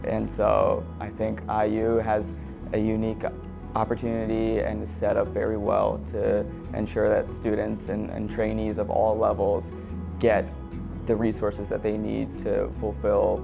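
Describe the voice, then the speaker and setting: A young man describing his years working at the racetrack and his mentor, with steady repetitions. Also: fairly low pitch, unhurried, gravelly. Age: young adult. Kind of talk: interview testimony. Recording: guitar music underneath.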